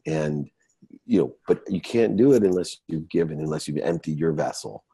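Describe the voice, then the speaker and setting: Very deep-voiced man of fifty, motivational speaker. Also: moderate pace, mellow, husky, no echo. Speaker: guest, motivational entrepreneur and speaker. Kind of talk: interview answers, motivational explanation.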